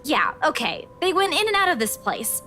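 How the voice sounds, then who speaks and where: A woman playing a sharp-tongued, frustrated young woman in a visual novel, with an exasperated, pressing manner. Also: high voice, very animated, moderate pace, soft background music, exaggerated voice.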